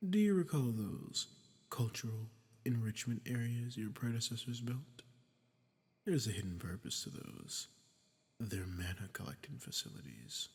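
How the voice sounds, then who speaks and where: Man voicing a gravelly, smug archdemon in cavernous reverb. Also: medium pitch, lively intonation, measured pace, darkish tone. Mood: casual, condescending. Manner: smug, theatrical, mock-polite, menacing. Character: sadistic, smug, ancient male archdemon bargaining for souls. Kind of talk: scripted roleplay audio drama monologue.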